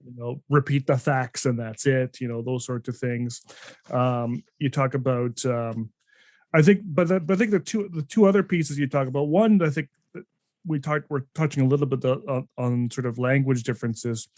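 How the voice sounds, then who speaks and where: A man's voice: mid-range voice, normal speed, darkish tone, no echo. Rambling male podcast host, dry mic. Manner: rambling, full of restarts and fillers. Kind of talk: casual conversational interview.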